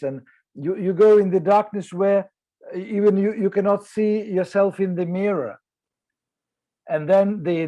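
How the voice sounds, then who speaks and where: An older man, a novelist on a panel, speaking slowly and haltingly with many fillers over a video call. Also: sits high, a little mellow. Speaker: author on a panel.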